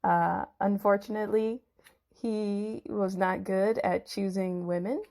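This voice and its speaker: A woman's voice: medium pitch, fairly even intonation, slow pace, creaky, a little mellow. A woman telling a Bible story in a theatrical, suspenseful narrating style.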